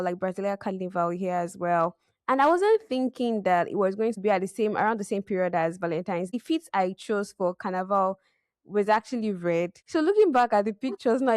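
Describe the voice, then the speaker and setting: A young woman hosts a relaxed chat with a friend. She is playful and teasing, breaks into laughter, and her voice sits in the middle of the range. The sound is close and dry, with almost no room. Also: medium pitch, no echo. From Nigeria. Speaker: podcast host. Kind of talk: casual chat.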